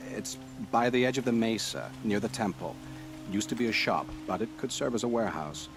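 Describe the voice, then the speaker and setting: An actor playing an authoritative, calculating royal adviser with deliberate, pragmatic delivery in a game cutscene. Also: male voice, on the low side, lively intonation. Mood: thoughtful. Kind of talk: dramatic game acting. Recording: music behind the dialogue.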